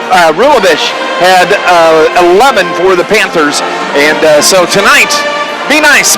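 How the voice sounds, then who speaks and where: High-pitched male radio sports announcer, music behind. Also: rough recording. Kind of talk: live pregame commentary. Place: indoor high school gymnasium. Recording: busy gym, loud music behind.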